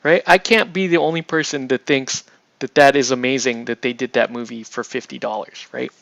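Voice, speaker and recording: A man chatting casually on a livestream while he paints miniatures, rambling and excitable, often breaking off mid-sentence. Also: medium pitch, a little fast, creaky, lo-fi sound. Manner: rambling, excitable, self-interrupting with laughs.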